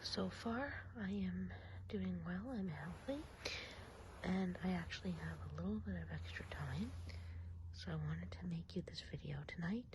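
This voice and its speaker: A woman speaking in a soft, breathy ASMR whisper with a gentle, hushed delivery, as the host of a video. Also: on the low side, normal speed, noisy background.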